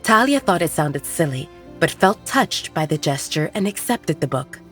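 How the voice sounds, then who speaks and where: A woman's voice: low-pitched, big pitch swings, normal speed, fairly bright tone. A woman reading scripted dramatic narration as the narrator of an audio story. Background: background music.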